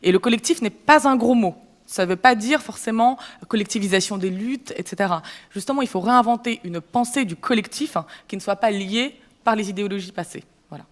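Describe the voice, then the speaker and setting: A woman's voice: mid-range voice, brisk, hardly any pauses. A woman in her thirties speaking fervently as a panelist at a conference debate, with frequent restarts. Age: 30s. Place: conference amphitheater. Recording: long hall reverb.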